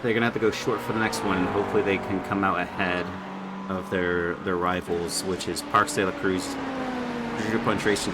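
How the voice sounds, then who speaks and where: Male race commentator, very low pitch, conversational and off-the-cuff; noisy mic with a loud hum. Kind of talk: casual sim racing commentary.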